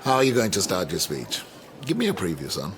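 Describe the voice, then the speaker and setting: Older mentor, deep and wry. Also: male voice, huge pitch swings, a little hiss or hum. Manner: measured, wry, eloquent. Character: reflective older male academic mentor nearing retirement. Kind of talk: naturalistic radio drama dialogue.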